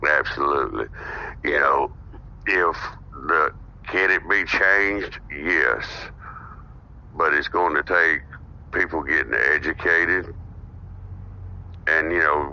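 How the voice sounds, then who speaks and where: A man with a very deep voice talks politics as a podcast guest. He explains his views earnestly and steadily. The recording sounds dull and lo-fi. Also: pushed and bright, a little hiss or hum. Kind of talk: casual political discussion. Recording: dull lo-fi audio.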